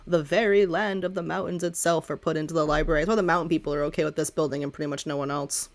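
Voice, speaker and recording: A woman's voice: sits low, steady tone, rapid-fire. A woman running a tabletop game, improvising narration and chatting casually in a playful, teasing, informal way. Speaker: game master of a tabletop roleplaying game.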